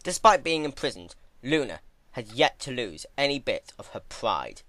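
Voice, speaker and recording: A man giving a dramatic narrated reading of a dark fan fiction story. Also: medium pitch, big pitch swings, moderate pace, dry recording.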